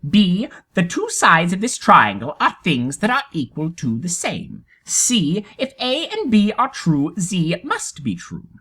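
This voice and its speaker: A man's voice: sits high, wildly swooping pitch, a little slow, hardly any pauses, pushed and bright, slightly rough, full character voice. A man voicing a sly, pedantic tortoise in a dramatic storybook reading, politely stubborn, precise and mock-innocent. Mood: cheerful, bossy.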